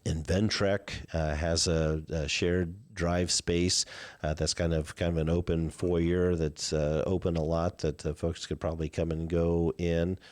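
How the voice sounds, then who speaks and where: A man in his fifties with a Midwestern American accent and a very deep, low voice. He answers residents' questions as a city mayor in a relaxed Q&A. He talks haltingly, filling gaps with "uh" and "um.". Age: 50s. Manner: halting, many "uh" and "um" fillers. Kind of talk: live call-in Q&A.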